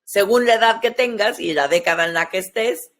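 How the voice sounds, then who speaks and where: A woman's voice: mid-range voice, a little fast. Mexican woman in her forties, explaining conversationally. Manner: explains step by step with frequent tag questions. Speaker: podcast host, sexologist. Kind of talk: conversational explanatory podcast talk.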